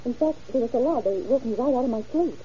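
A woman's voice: a little high, lively intonation, fast, mellow, lightly acted. Actress doing a gossipy lady neighbor, old radio show, dull muffled sound w some noise. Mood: earnest, gossipy. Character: chatty female neighbor witness, eager to gossip. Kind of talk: old-time radio drama acting.

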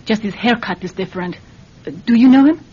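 A woman's voice: a little high, fairly quick, husky. An actress playing an anxious, earnest immigrant mother in an old-time radio drama, wary and pleading. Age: adult. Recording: dull, noisy old radio recording.

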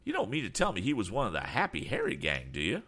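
A man voicing an eccentric, excitable older gentleman from a storybook, full of blustery exclamations and astonished questions. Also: lowish voice, quick pace, breathy edge, voice pushed up, heavily acted. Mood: worried. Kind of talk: audiobook character voice.

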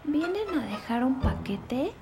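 A woman's voice: high voice, big pitch swings. A woman playing an earnest, insistent seven-year-old girl in an audio drama. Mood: curious. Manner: childlike, slow, insistent.

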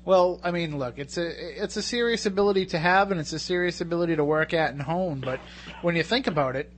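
A man's voice: on the high side, a little hiss or hum. A man hosting a radio talk show, bantering casually and cracking jokes. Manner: joking, quick banter.

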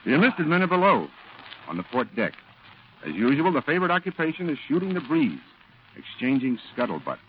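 An actor playing a calm, earnest Marine chaplain who reflectively narrates a 1940s radio drama. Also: male voice, a little high, fairly quick. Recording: tinny, noisy vintage radio broadcast.

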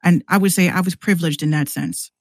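A woman's voice: lowish voice, fast talker, dry recording. Candid American woman, close dry sound. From New York City, US. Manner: animated, candid, slangy, acts out quoted exchanges. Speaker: podcast guest, rapper and radio show host. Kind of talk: casual conversational storytelling.

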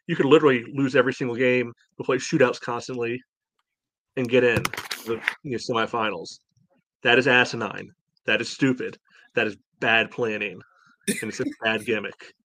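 A man's voice: medium pitch, steady tone, normal speed, fairly bright tone, low-quality recording. A man hosting a soccer podcast, giving blunt, indignant opinions and leaning often on "you know". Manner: frequent "you know" filler. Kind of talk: opinionated sports podcast discussion.

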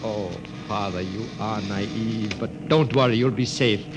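An actor playing a resolute, earnest young underground member in an old-time radio drama, on a noisy vintage recording. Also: male voice, fairly low pitch, unhurried, close to an everyday voice. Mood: grave, resolute. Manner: earnest, decisive.

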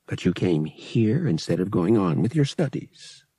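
Male narrator as a roguish young pilot, audiobook character, raspy, lively pitch, casual, coaxing, sceptical. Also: a little slow, no echo, heavily acted. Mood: casual, probing.

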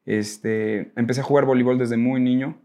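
A Mexican man with a mid-pitched voice is the guest in an interview. He tells his own stories informally, often rambling and correcting himself along the way. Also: steady tone, normal speed, warm tone, muffled recording. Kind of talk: casual personal storytelling.

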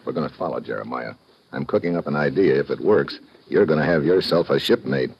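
Very deep-voiced hardboiled investigator. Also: male voice, lively intonation, brisk, slight background noise. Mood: cool, scheming. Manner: clipped, wry tough-guy delivery. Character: hardboiled male investigator narrating his own adventure, cool, wry. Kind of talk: 1940s-50s radio drama narration and acting. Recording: muffled old radio recording, musical accompaniment.

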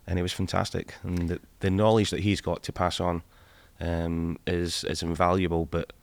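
A man's voice: deep voice, level tone, moderate pace, creaky, no echo. A middle-aged man talking casually about football as a podcast panellist. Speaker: podcast panellist, former coach.

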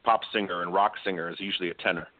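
A man's voice: deep voice, fairly even intonation, racing, sharp tone, no echo. A man who sings opera, a guest in a remote interview, explaining at length with frequent ums. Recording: dry tinny call line.

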